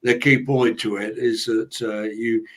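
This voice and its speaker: A man's voice: lowish voice, little pitch movement, a little slow, a bit bright, slight background noise. Hesitant man moderating a panel. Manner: hesitant, many fillers and restarts. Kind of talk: online panel discussion.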